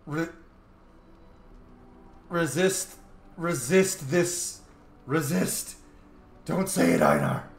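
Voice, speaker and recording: A man casually commenting on a role-playing game and reading dialogue choices aloud, with animated, jokey asides. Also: fairly high pitch, extremely slow, creaky, pauses often. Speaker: gaming host, role-player. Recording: echoey room, faint background noise.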